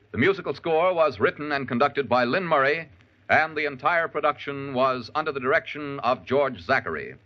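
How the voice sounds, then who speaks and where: A man reading scripted announcements in the formal, clearly enunciated style of 1940s radio. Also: mid-range voice. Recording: muffled old radio recording.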